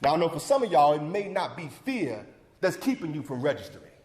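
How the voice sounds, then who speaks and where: A man's voice: fairly high pitch, sing-song, brisk, a little mellow. A man acting the part of a fervent older community leader, giving a folksy, rousing, preacherly speech in a theatrical monologue. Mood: chiding. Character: John Wesley Dobbs, fervent older civic leader rallying a church crowd. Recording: echoey hall with long reverb.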